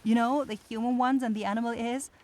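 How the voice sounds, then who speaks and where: A woman's voice: on the high side, steady tone, fast talker, darkish tone, natural voice. A woman playing a kind young forest witch in an ASMR fantasy roleplay, shy and caring, easily flustered into stammering. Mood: caring, tender.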